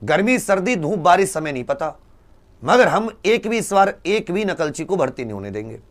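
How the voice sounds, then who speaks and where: A man speaks in a fairly high voice with very lively, swinging intonation. He addresses students like a coaching teacher rallying them, emphatic and urging, throwing out rhetorical questions and repeating key phrases. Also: moderate pace.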